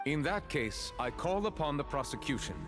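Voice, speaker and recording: A man playing a stern male judge in a video game, speaking in a formal, measured, authoritative way. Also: on the high side, sing-song. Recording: music behind the voice.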